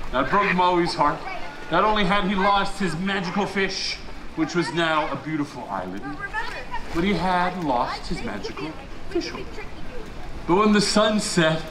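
A man from Hawaii performing live as a storyteller, telling a legend theatrically with dramatic pauses and drawn-out emphatic words. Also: fairly high pitch. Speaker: resort storyteller. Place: outdoor poolside deck at a resort. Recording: roomy, noisy outdoor live recording.

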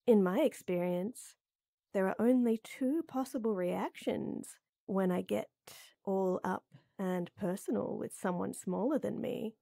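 A woman playing a tall, strong lady knight who flirts and teases softly and coyly in a roleplay audio drama. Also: medium pitch, a little slow, creaky, no echo, clean studio sound. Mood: amused, flirty. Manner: teasing, coy, soft and intimate with chuckles.

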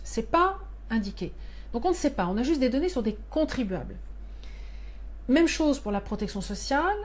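A woman's voice: on the high side, a little mellow. A woman in her fifties, speaking as a parliament member to her constituents abroad in a monthly live stream from a small office, explaining earnestly with many hand gestures. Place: small office with shelves of binders. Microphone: webcam or phone at desk.

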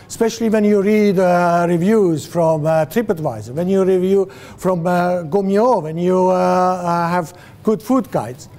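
Older Swiss man lecturing slowly. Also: sits high. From Switzerland. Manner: animated, gesturing, many fillers and restarts, asks the audience questions. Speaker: guest lecturer. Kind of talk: guest lecture to students. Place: classroom with a screen, indoors. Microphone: far camera, no mic seen.

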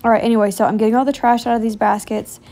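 A woman's voice: fairly high pitch, flat delivery, rapid-fire, dark tone. A woman in her thirties with an American accent, chatting casually and informally as a self-deprecating homemaking vlogger.

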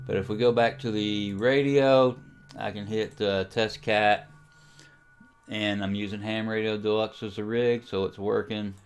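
A man giving an informal software tutorial, explaining things casually, step by step, as a voice-over. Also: fairly low pitch, fairly even intonation, measured pace, a little hiss or hum. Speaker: amateur radio tutorial host.

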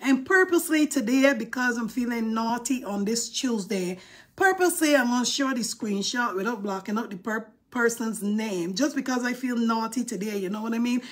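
A woman's voice: fairly high pitch, lively intonation, normal speed. A middle-aged woman with a Barbadian accent, chatting playfully and sassily on a phone live stream from a room indoors. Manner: chatty and playful, sassy asides. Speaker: livestream host. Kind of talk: casual live-stream chat. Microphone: phone held close, selfie framing.

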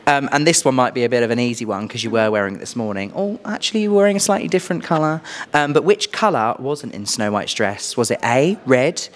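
A young adult man with a British accent hosting a playful quiz interview in an animated, exclaiming way into a handheld microphone. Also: medium pitch, fast talker, few pauses. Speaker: host, quizmaster. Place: small furnished indoor room.

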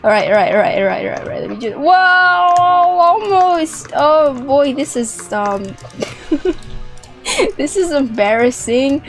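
Young adult woman, gaming host, high-pitched, slow-paced, giggly, repetitive, exclamatory, faint music. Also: animated. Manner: giggly, exclamatory, repeats phrases. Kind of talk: playful gameplay commentary. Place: small indoor room with plush toys.

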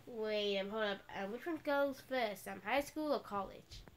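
A young girl chatting with a friend during a game video, rambling with lots of pauses and self-corrections. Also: mid-range voice, a little hiss or hum. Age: child. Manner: rambling, many fillers and restarts. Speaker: friend co-hosting. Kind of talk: casual chat.

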